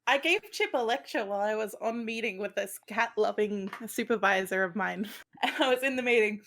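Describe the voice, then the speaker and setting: A woman telling a quick, giggly anecdote in casual conversation. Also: mid-range voice, fairly bright tone. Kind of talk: casual chat.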